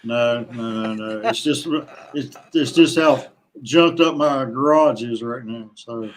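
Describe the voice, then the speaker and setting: An older man chatting casually as a podcast guest, halting and often repeating his words. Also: medium pitch. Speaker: podcast guest, kayak angler. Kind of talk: casual conversational interview answers.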